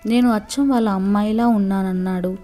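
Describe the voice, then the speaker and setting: A woman's voice: medium pitch, fairly even intonation, brisk, warm tone, faint music behind, close to an everyday voice. A woman storyteller voicing an innocent, chatty little girl in a children's story.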